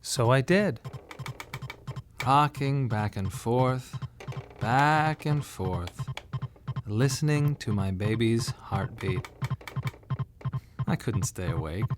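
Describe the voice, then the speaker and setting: A man's voice: medium pitch, lively intonation, takes it slowly, pauses often, over music. An actor playing a weary, bereaved father who tells his story in a reflective, wry radio drama monologue. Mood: anguished.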